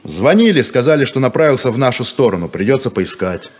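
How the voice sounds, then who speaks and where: An actor playing a proud, lonely, guarded deputy sheriff in a 1970s radio play, terse and reserved. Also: male voice, mid-range voice, very animated, fast talker, lightly acted. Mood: polite, evasive.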